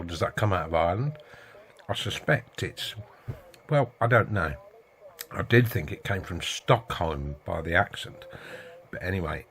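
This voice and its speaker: A man's voice: sits low, fairly bright tone. A middle-aged man with a British accent explaining casually in a rambling, wry way, often asking rhetorical questions. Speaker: video host, radio hobbyist.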